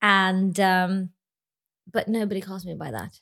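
A woman's voice: mid-range voice, measured pace, vocal fry, a bit bright. A young pregnant woman from Lithuania, a podcast guest chatting casually, laughing often and trailing off with fillers. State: heavily pregnant. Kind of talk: casual interview chat.

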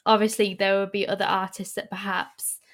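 A woman hosting an interview, musing aloud and filling pauses with um and sort of. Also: medium pitch, level tone. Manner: thoughtful, fills pauses with um and sort of. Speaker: interviewer, host. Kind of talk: conversational interview.

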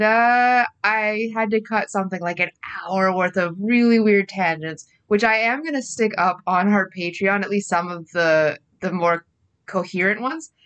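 A woman's voice: mid-range voice, fairly bright tone, clear, resonant tone, lo-fi sound. A woman producer giving a chatty intro to a podcast episode, laughing between words. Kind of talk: spoken intro.

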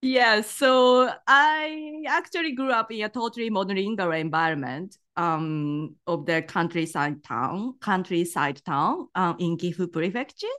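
A Japanese woman with a Japanese accent, a guest on an interview podcast, speaking politely with frequent ums and pauses as she thinks. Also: mid-range voice, a bit bright.

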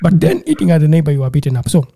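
A man's voice: fairly high pitch, big pitch swings, rapid-fire, gravelly, warm tone. A man from Uganda, working in childcare, talking casually and earnestly in an interview, often repeating and restarting words.

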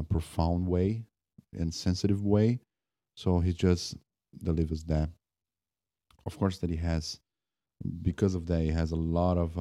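Low, dark-voiced man musing. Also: no echo, studio quality. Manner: halting, many restarts and fillers. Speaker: podcast host. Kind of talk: casual spoken music review.

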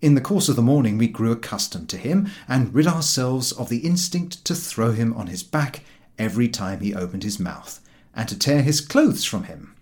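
A male audiobook narrator reads comic prose with a wry, expressive delivery. His mid-range voice moves in lively rises and falls, shaping each sentence as storytelling. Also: medium pitch, moderate pace. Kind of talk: scripted narration of a humorous story.

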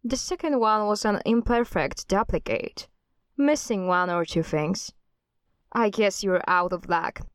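A woman performs a defiant, knowing heroine in a horror audio drama. Her medium-pitched voice is pointed and assured. The sound is dry, with little room around it. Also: measured pace, no room sound, close to an everyday voice. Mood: smug, defiant. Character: defiant, knowing woman, cult leader's estranged daughter.

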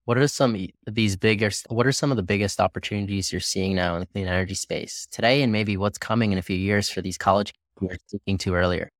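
A man's voice: on the low side, quick pace, hardly any pauses, no echo, clean studio sound. A man hosting a podcast interview, asking questions in a friendly, conversational way. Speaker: podcast host.

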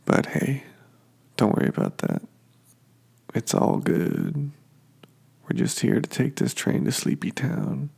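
A sniffly man hosts a sleep podcast, talking very slowly and drifting from one detail to the next with lots of halting fillers. His low voice has heavy gravelly fry and a husky edge. He is speaking from his home kitchen. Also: huge pitch swings, darkish tone. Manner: meandering, halting, many fillers. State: sniffly from allergies. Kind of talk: slow rambling description for sleep.

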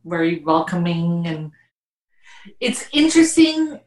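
A woman from Switzerland, a podcast guest, chatting warmly with many fillers and laughs. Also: on the low side, sing-song, slow. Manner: warm, laughing, hesitant with fillers. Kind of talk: casual interview chat. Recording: echoey room.

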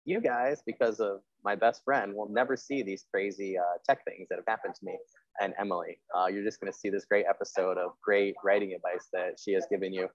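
Fast-talking man with a low voice. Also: steady tone, no room sound, dull audio. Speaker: podcast host and writer. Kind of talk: casual interview chat.

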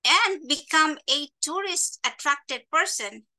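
A middle-aged woman from East Africa with a high, strikingly bright and forceful voice. She speaks as a podcast guest, telling stories and handing out advice in an emphatic, instructive way. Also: lively intonation, slow pace. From Kenya and Tanzania. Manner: emphatic, instructive, checks in with "right?". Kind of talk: conversational storytelling and advice.

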